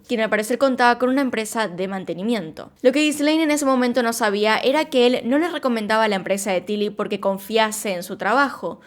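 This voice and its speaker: A woman narrating a true crime story in a calm, scripted way, speaking directly to her viewers. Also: on the high side. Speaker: true crime channel host.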